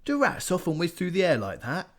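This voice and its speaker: Reader as a gruff, whiny rat catcher, animated. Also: male voice, fairly high pitch, brisk, pitched above the performer's own voice, heavily acted. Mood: grumbling. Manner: gruff, snappish. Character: grumbling rat catcher, middle-aged man, surly.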